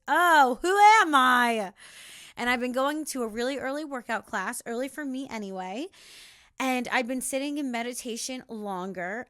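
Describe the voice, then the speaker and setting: A young woman with a raspy voice giving an emphatic motivational monologue as a podcast host, talking straight to the listener. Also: high-pitched, pushed and bright, no echo, studio quality.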